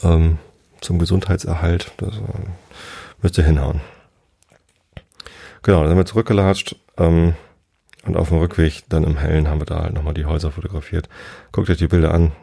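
A man of forty-five telling a travel story casually, in a relaxed, rambling way and often pausing to search for words. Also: deep voice, creaky, husky.

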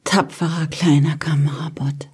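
A woman's voice: sits low, steady tone, moderate pace, a little mellow, a little hiss or hum, natural voice. A woman playing a worried, tender robot owner in an audio drama. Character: worried, tender young woman who owns a camera robot.

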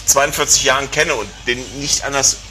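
A man's voice: medium pitch, little pitch movement, normal speed, sharp tone. A middle-aged man chatting casually in a rambling, joking and emphatic way. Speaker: conversation participant. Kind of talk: casual chat.

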